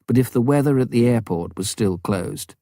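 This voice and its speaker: A man reading a scripted story as an audiobook narrator, measured and dramatic, with deliberate pauses. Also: medium pitch, expressive, warm tone, no room sound, clean studio sound. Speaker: audiobook reader.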